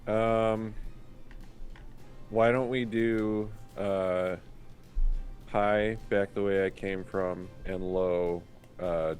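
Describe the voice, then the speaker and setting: Male player, low-voiced and slow, sweary casual table talk, prominent music underneath. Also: fairly even intonation, darkish tone. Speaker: player in a tabletop roleplaying game. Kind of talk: casual game-table chat.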